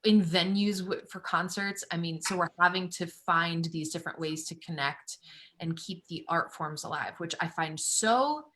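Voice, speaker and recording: A woman's voice: fairly low pitch, moderate pace, fairly bright tone. A woman hosting a remote interview, enthusiastic and affirming, asking long, winding questions. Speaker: host, coach. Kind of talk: casual interview conversation.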